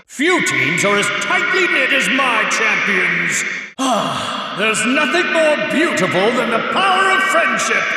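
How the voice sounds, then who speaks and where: An actor playing a bombastic, pompous middle-aged arena owner in a video game, announcing fights in grandiose, florid speech. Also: male voice, sits high, creaky, pushed and bright, heavily acted. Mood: pompous analysis. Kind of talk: theatrical video game voice acting, ringside commentary. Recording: echoing hall, distant reverberant voice.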